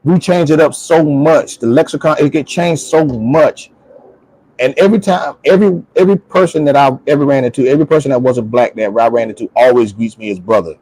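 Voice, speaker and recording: A man's voice: medium pitch, brisk, mellow, no echo, poor audio. Man talking emphatically in casual conversation. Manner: emphatic, repeats phrases for stress. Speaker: talk show speaker.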